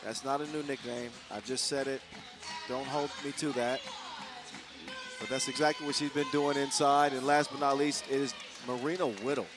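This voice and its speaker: A man calling a basketball game play by play, energetic and jokey, getting louder on big plays. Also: medium pitch, moderate pace, a crowd in the background. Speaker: play-by-play commentator. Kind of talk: live basketball commentary. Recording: music playing behind the commentary.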